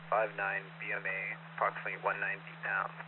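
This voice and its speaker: A man's voice: lowish voice, completely flat delivery, quick pace. A man working as a patrol officer, reporting in a matter-of-fact procedural way over a police two-way radio. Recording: very noisy, phone-like police scanner, distant.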